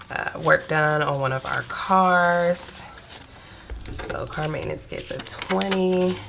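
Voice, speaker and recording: A young woman with a stuffy nose from a cold, chatting casually to viewers while counting out cash in a steady murmur. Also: low-pitched, gravelly, noisy background. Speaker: budgeting vlogger.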